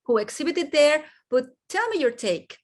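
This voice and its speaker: A woman's voice: high voice, sing-song, moderate pace. A woman with a non-native accent hosting a casual video chat, laughing easily. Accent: foreign-accented English. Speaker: host.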